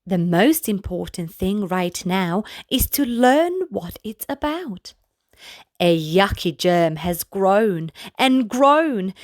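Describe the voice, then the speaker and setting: A woman reading a children's picture book aloud as a storyteller, in a gentle, deliberate manner addressed to young listeners. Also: mid-range voice, big pitch swings, dry recording, clean studio sound. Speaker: children's storybook reader, channel host. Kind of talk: read-aloud bedtime story narration for children.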